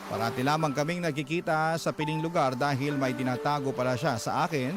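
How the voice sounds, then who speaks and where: A Filipino man tells a first-person story as a radio drama narrator. His voice is on the higher side, earnest and sentimental. Music plays clearly underneath. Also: fairly quick. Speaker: radio drama narrator reading a listener's letter. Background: music bed.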